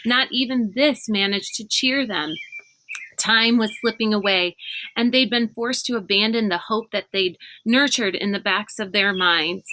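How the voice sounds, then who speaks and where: A woman reading a children's book aloud as an expressive narrator. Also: mid-range voice, slight background noise, low-quality recording. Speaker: read-aloud reader.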